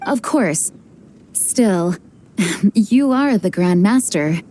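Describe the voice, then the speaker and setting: Casting: modest, earnest lady knight for a game; soft-toned, courteous, formal, melodic delivery. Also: medium pitch, animated. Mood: polite, insistent. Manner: polite, formal, measured. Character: earnest, modest, dutiful young female knight commander. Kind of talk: video game voice acting.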